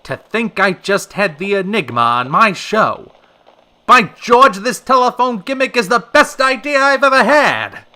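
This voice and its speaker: A man playing a flamboyant, excitable old-time radio host with theatrical, polished announcer delivery. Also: high-pitched, measured pace, exaggerated voice. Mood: elated. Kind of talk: 1940s radio acting.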